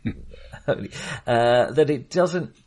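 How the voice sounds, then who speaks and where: A man's voice: mid-range voice, animated, slow pace, a little mellow. A man co-hosting a podcast in casual film discussion, hesitant and often correcting himself as he qualifies his opinions. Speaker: podcast co-host.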